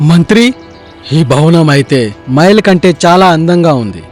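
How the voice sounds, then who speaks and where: A man voicing a cruel, grasping king in an animated story, imperious and menacing. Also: a little high, moderate pace, soft background music, lightly acted.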